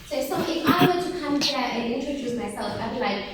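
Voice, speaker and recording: A woman in her forties from South Africa, giving a lively motivational talk to teenage girls and asking them questions as she goes. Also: high-pitched, fairly quick, heavy reverb, recorded from a distance, very noisy. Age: 40s. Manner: lively, asks the audience questions often. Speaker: workshop facilitator.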